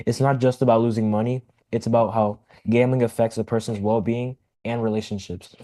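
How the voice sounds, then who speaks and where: A man reading a prepared informative passage in an even, steady way. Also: mid-range voice, a little fast.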